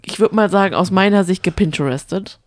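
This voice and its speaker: A woman's voice: medium pitch, brisk, no echo, clean studio sound. A woman co-hosting a casual podcast, bantering in a chatty, teasing way. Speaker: podcast co-host. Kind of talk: casual banter recapping reality TV.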